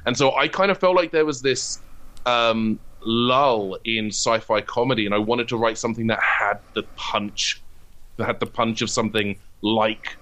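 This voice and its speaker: A man's voice: medium pitch, moderate pace, fairly bright tone. A British man from the UK talking casually as an interview guest, explaining his writing in a self-deprecating way. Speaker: guest, comedy writer.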